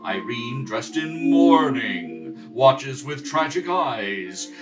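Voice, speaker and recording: A man reading as announcer and narrator of a radio play in a measured, presentational 1930s style. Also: mid-range voice, very animated, music in the background. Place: home kitchen table.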